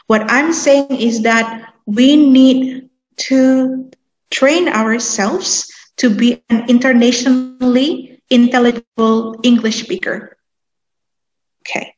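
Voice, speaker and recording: A woman lecturer with an Indonesian accent, answering a student in a hesitant, deliberate, explanatory way and mixing in her native language. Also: on the high side, fairly even intonation, highs cut off. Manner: hesitant, deliberate, switching between English and Indonesian. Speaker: university lecturer.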